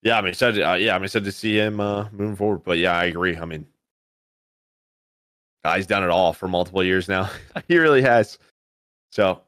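A man's voice: deep voice, normal speed, pauses often, a bit bright, dry recording, clean studio sound. A man co-hosting a podcast in a casual, conversational style, moving between opinions and listener questions. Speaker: esports commentator and podcast co-host.

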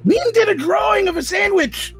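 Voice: very high-pitched, animated, normal speed, a bit bright. A person chatting casually in a group game, reacting in an exclamatory, mock-amazed way. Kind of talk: casual chat, reacting to a drawing and caption game. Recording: music clearly playing behind the voice. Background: music.

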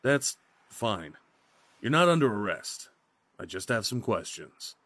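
An actor playing a skeptical, by-the-book highway patrol officer in an audio drama, official and matter-of-fact. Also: male voice, big pitch swings, unhurried, fairly bright tone, no echo.

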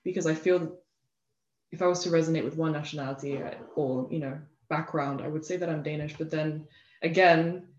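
A young woman with an English accent speaking reflectively in an interview, pausing and restarting as she thinks, with frequent filler phrases. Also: low voice, steady tone, darkish tone. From England. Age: young adult. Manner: thoughtful, halting, frequent "you know" and "like". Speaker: interview guest, self-love advocate. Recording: roomy echo, slightly dull lo-fi sound.

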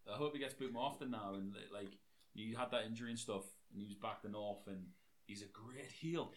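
A young man co-hosting a casual podcast, his voice hoarse and nearly gone, talking excitedly and swearing freely. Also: on the low side, brisk. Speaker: podcast co-host. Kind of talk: casual chat.

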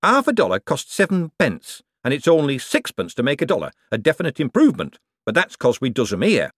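A man's voice: medium pitch, huge pitch swings, fairly quick, no room sound, clean studio sound, pitched above the performer's own voice. Figure: a man doing a sly old foreman. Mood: wheedling. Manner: broad dialect grammar, keeps saying sir. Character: sly working-class older male mint foreman.

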